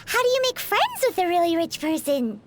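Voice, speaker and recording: A woman voicing a tiny, childlike fairy companion in a video game, with a squeaky voice and a perky, eager manner. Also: huge pitch swings, fairly quick, background noise, exaggerated voice. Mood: curious, excited. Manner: perky, refers to self in third person.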